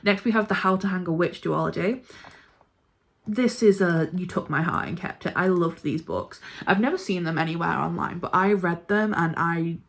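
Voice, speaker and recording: Woman, lowish pitch, casual book vlogger, informal, emphatic, gushing. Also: moderate pace. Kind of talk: casual chat ranking books.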